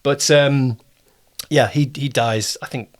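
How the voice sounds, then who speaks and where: A man's voice: mid-range voice, steady tone, slow pace. A man hosting a casual interview, speaking in an understated, somewhat hesitant way. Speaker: producer and interview host.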